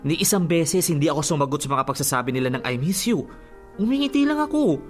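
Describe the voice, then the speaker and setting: A man's voice: fairly high pitch, animated, fast, close to an everyday voice. Man acting a pleading young boyfriend over music. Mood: defensive, pleading. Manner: coaxing, pleading, affectionate. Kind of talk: radio drama acting.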